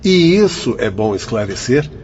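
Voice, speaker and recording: A man's voice: medium pitch, sing-song, normal speed, darkish tone, close to an everyday voice. Man acting assured spirit guardian, dull recording. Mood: assured, instructive. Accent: Brazilian. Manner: formal, explanatory, assured. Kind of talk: Brazilian radio drama acting. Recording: dull lo-fi audio with background noise.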